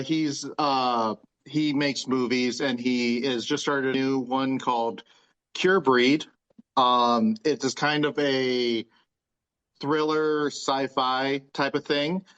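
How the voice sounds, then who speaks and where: A man's voice: medium pitch, steady tone, slow, a bit bright, clean tone. A man chatting casually as a panelist on a podcast. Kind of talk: casual chat. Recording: slightly dull lo-fi audio.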